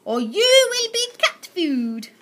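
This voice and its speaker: A woman's voice: very high-pitched, very animated, slow, very noisy, voice pushed up, full character voice. A woman voicing a greedy, cat-obsessed rich old aunt who sneers and bellows threats. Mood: furious.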